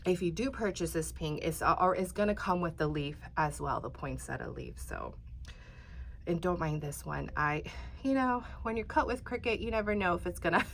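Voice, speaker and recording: A woman's voice: low voice, gravelly, fairly bright tone, a little hiss or hum. A woman hosting a casual paper craft tutorial, a bit congested, chatty and rambling, often dropping to a near-whisper while she works. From Texas. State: congested, stuffy-sounding.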